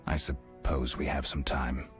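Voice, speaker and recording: An actor playing a gruff, guarded monster hunter in a video game, speaking in terse, deadpan lines. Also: male voice, very deep voice, measured pace, faint music behind. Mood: subdued, tender.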